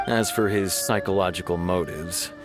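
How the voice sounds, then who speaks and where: A man's voice: sits low, steady tone, normal speed, lightly acted. A man playing a dutiful city police officer in an anime video game, explaining in a firm, official, self-assured manner. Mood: frustrated, firm. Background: music.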